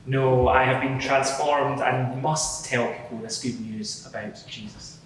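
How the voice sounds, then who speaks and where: A man's voice: mid-range voice, steady tone, measured pace, lightly acted. A man playing a converted rabbi who testifies earnestly, like a preacher, in a courtroom drama. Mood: earnest, fervent. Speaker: witness in a mock court inquiry. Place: large echoing courtroom, live event. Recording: echoey hall, distant, loud background noise.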